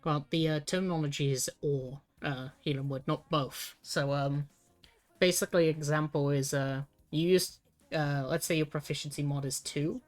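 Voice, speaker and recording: A woman's voice: sits low, fairly even intonation, moderate pace, a little mellow, soft background music. A British woman streaming as she writes tabletop game rules, thinking aloud in a hesitant, self-mocking way while she works out the wording. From United Kingdom.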